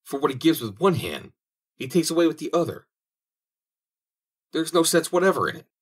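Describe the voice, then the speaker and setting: A man's voice: on the high side, very animated, moderate pace, slightly rough, voice pushed up. A man voicing a cunning, greedy former soldier who blusters and wheedles, in an audiobook reading. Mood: sly.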